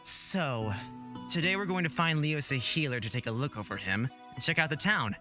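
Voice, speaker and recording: A performer voicing a gentle, cheerful young man who keeps the peace in a visual novel. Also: medium pitch, animated, moderate pace, soft background music. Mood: gentle, upbeat.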